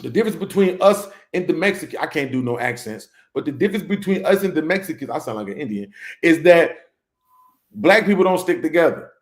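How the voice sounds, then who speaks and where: A man's voice: on the high side, lively intonation, brisk. A man hosting a casual panel discussion, rambling along and tagging his points with "right?". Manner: rambling, keeps checking in with "right?".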